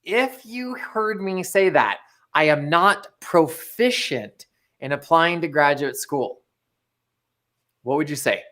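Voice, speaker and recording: Energetic man hosting a live stream. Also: on the high side, takes it slowly. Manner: energetic, reads viewer chat aloud. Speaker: co-host of live motivational show. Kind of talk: casual live-stream chat.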